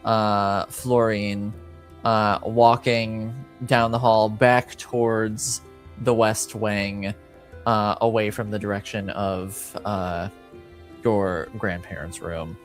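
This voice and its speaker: Guy game mastering a tabletop rpg, slow n hesitant, lots of pauses, soft music behind. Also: lowish voice, little pitch movement. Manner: hesitant, halting delivery with many pauses. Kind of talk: improvised narration in a tabletop game.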